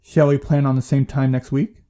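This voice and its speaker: A man playing a calm, supportive therapist in an audio drama, speaking in a measured, gently firm way. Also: medium pitch, lightly acted. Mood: gently reassuring. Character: calm, reassuring male therapist. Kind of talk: audio play dialogue.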